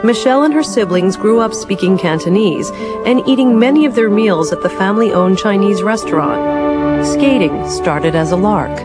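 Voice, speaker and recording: A woman giving measured, formal, scripted narration for a sports documentary. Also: mid-range voice, lively intonation. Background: music.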